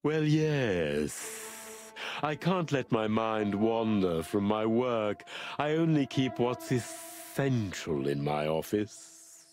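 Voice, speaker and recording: A creepy philosophical snake doctor for a noir game, slow n measured, music behind. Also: male voice, fairly low pitch, very animated, a little mellow. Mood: calm, philosophical. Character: unsettling philosophical male snake doctor running an asylum. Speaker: asylum doctor character.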